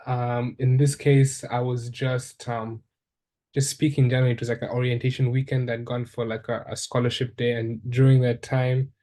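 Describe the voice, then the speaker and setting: A young man from Uganda, a fourth-year undergraduate, thinking aloud in a relaxed interview with many ums and restarts. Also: medium pitch. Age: young adult. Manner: thoughtful, with frequent ums and restarts. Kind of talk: reflective casual conversation.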